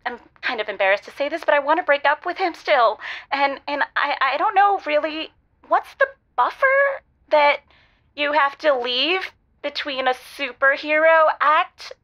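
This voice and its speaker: Comedy caller: higher-pitched, guilt-ridden, flustered and hesitant, lo-fi audio. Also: female voice. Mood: embarrassed, exasperated. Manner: hesitant, stammering, halting. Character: guilt-ridden, embarrassed, exasperated woman stuck in a relationship. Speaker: caller to an advice show. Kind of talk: improvised comedic call-in character acting.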